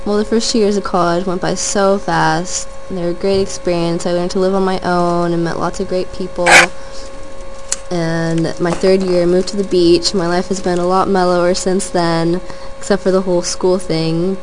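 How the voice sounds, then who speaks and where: A young woman in her twenties talks about herself in a relaxed, earnest way, one thought running into the next. Her voice sits a little low for a woman. A faint hum stays underneath the whole recording. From Southern California. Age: 20s. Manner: earnest, rambling, chains clauses with "and". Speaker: college student. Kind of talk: casual personal monologue.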